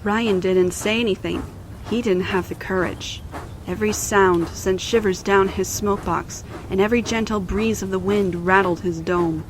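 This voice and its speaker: A woman's voice: mid-range voice, fairly even intonation, normal speed, background noise. A woman narrator reading a scripted children's story in storybook style.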